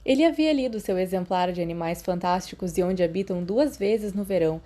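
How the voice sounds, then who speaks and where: A woman's voice: on the low side, fairly quick, warm tone. A woman with a Brazilian accent narrating a story from a script as an audiobook reader, with the odd small stumble.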